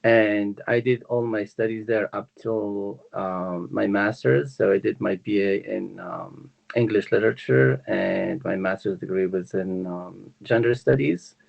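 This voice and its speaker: Creaky Moroccan-accented man, slightly low. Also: a little slow, few pauses, lo-fi sound. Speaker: guest, university language professor. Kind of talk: conversational interview answers.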